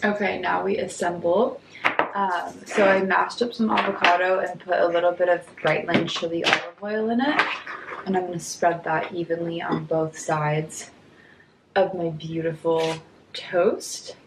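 A woman's voice: on the low side, fairly even intonation, unhurried, gravelly, roomy sound, heavy background noise. A young woman in her twenties chatting casually through a home cooking vlog, hungover, giggly and full of likes and ums. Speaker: cooking vlogger. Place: home kitchen and dining room, indoors.

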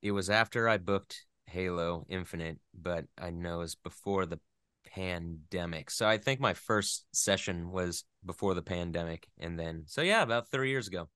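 A man's voice: low voice, moderate pace, sharp tone, clear, resonant tone, no room sound, studio quality. A man, a voice actor, chatting casually as an interview guest, telling stories in a joking, self-deprecating way.

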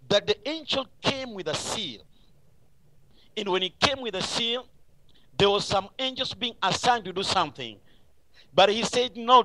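High-pitched, bright-voiced man preaching. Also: dry recording, low-quality recording. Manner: emphatic, repetitive exhortation. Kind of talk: live radio sermon.

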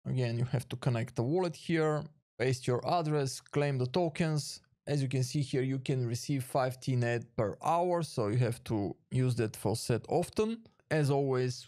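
A man's voice: medium pitch, dark tone, no room sound, clean studio sound. A man hosting a casual step-by-step screen tutorial, thinking aloud with some hesitation as he demonstrates. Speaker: tutorial host.

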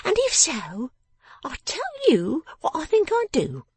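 A woman voicing a sharp-tongued, bossy, vengeful young woman in a storybook, with emphatic, snapping speech. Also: high voice, wildly swooping pitch, measured pace, no room sound, pitched above the performer's own voice, heavily acted. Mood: brooding, vengeful. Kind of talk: dramatised storybook reading.

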